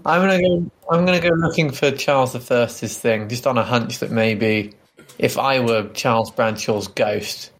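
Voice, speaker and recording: A man's voice: mid-range voice, moderate pace. A man playing a tabletop role-playing game, chatting casually and informally as he thinks aloud.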